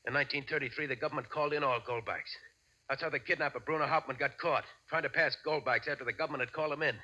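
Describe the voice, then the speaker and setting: A man's voice: medium pitch, fairly even intonation, racing, sharp tone. A man playing an injured, sardonic ex-convict bank robber in a 1940s radio drama, terse and dryly defiant. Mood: mocking triumph. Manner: terse, dry, tough-talking. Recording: muffled old radio recording.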